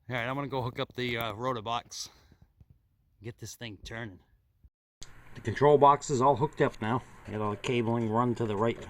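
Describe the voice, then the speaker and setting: A noticeably noisy camera recording carries a man's mid-pitched voice. He narrates a hands-on hobby video casually, with an upbeat, folksy manner. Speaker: radio hobbyist vlogger. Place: outdoors and in a home radio room. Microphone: handheld camera mic.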